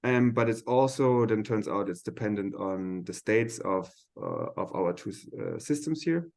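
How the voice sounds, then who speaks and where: A man's voice: lowish voice, big pitch swings, measured pace, gravelly, a little mellow. A man lecturing at a seminar in an informal, hesitant way, thinking aloud as he explains technical material step by step.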